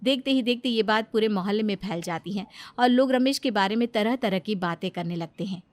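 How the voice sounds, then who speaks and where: Woman, narrator, cartoon story, steady, plain delivery. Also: medium pitch, a little fast, dry recording.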